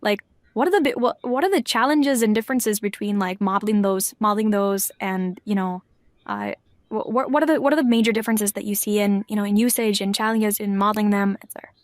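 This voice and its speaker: A woman's voice: medium pitch, quick pace, no room sound, studio quality. A woman hosting a podcast interview, asking questions hesitantly and repeating words. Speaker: podcast host. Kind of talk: casual interview.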